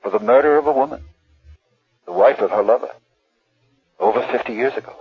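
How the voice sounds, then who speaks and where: An actor playing a nervous, polite art auction dealer in a radio drama, hesitant and stammering. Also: male voice, mid-range voice, a little fast, very noisy, lightly acted. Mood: storytelling. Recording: dull, dry old radio drama audio.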